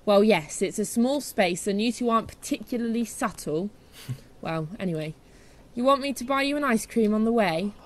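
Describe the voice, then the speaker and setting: A woman plays a bossy, no-nonsense character in an audio comedy. She is blunt and dry, sounding thoroughly exasperated, with a medium-pitched voice. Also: animated, moderate pace, slight background noise, lightly acted. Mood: wry. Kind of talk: comedy audio drama acting.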